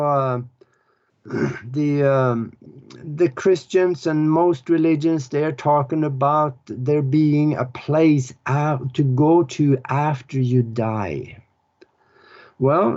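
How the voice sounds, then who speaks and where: Slow-talking man, lo-fi sound. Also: a little high, darkish tone. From Norway. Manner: unhurried, earnest, halting with pauses. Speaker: author and spiritual teacher. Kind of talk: explanatory spiritual talk. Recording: dull lo-fi recording.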